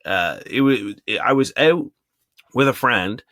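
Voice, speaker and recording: A man's voice: mid-range voice, animated, unhurried, fairly bright tone, no echo. A young man co-hosting a podcast in casual banter, wandering through his stories with plenty of likes and uhs. His mid-range voice sounds dry and close, with no room around it. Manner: rambling, filler-heavy storytelling. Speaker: podcast co-host. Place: small closet.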